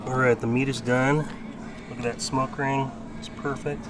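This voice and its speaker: A man's voice: mid-range voice, level tone, normal speed, loud music behind the voice. Chatty man in his late thirties. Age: 30s. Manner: rambling, chatty, self-deprecating. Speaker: car channel vlogger. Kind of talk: casual vlog. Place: backyard and garage at home.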